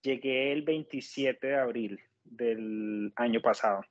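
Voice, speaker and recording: A Colombian man in his thirties speaks as an interview guest in a mid-pitched voice. He explains his experience politely and with measure, on slightly dull, muffled audio with no room echo. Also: slow. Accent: Colombian Spanish. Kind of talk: conversational personal account.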